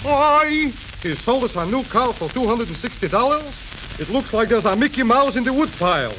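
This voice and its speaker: A man playing an excitable, haggling middle-aged used-car dealer and father in a 1930s radio comedy. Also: very high-pitched, moderate pace, full character voice. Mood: panicked. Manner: haggling, excitable, inverted phrasing. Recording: crackly, hissy 1930s radio recording.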